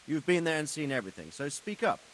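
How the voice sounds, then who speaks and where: An actor playing an authoritative medieval lord and military commander in a video game cutscene, speaking deliberately. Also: male voice, on the high side, very animated, fairly bright tone, a little hiss or hum, low-quality recording. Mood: stern, assessing. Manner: authoritative, measured, questioning. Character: commanding, deliberate medieval nobleman leading soldiers.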